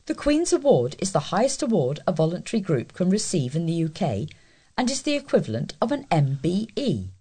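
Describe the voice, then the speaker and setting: A woman's voice: lowish voice, big pitch swings, fairly quick, warm tone, husky. A woman reading scripted local news as a volunteer newsreader.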